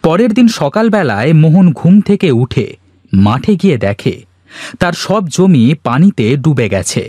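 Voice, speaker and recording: A man's voice: a little high, big pitch swings, moderate pace, a little mellow. A man reading scripted narration for a children's fairy tale.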